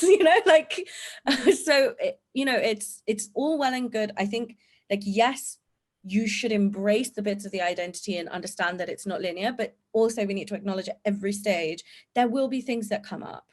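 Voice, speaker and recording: Candid woman, animated guest. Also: mid-range voice. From England. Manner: animated, candid, quick to laugh. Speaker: podcast guest. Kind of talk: conversational podcast discussion.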